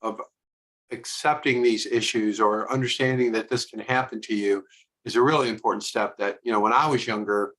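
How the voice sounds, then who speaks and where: Bright-voiced man hosting, chatty. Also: lowish voice, steady tone, normal speed, poor audio. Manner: rambling, hesitant, with many fillers. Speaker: host. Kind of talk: commentary between video segments.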